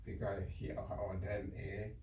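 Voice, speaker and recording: A man with a Cameroonian accent, a bishop answering interview questions in halting, repetitive short phrases. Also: lowish voice, flat delivery. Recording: echoey room, distant mic, faint noise.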